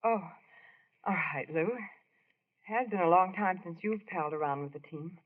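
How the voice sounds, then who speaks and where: Woman playing a tender young wife, gentle, earnest, vintage radio drama acting, lo-fi recording. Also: on the low side, moderate pace, lightly acted. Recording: muffled old radio recording.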